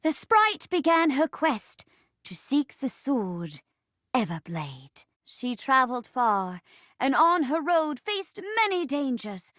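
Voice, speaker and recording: A woman voicing a playful storyteller in a video game, narrating a fantasy play with grand, deliberate drama. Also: high-pitched, big pitch swings, fairly bright tone, dry recording, full character voice. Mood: grandly dramatic.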